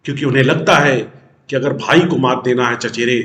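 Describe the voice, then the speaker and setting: A man's voice: mid-range voice, fairly even intonation, brisk, fairly bright tone. A man hosting a news show, giving emphatic political commentary to viewers and posing rhetorical questions that he answers himself. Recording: roomy, echoing sound.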